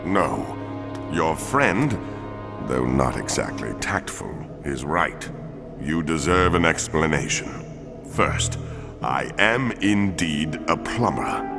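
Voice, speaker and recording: A four-armed alien cop with a super deep voice, formal and stiff, game music going under it. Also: male voice, very animated, vocal fry, big-room sound, full character voice. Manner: formal, measured, commanding. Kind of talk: video game cutscene acting.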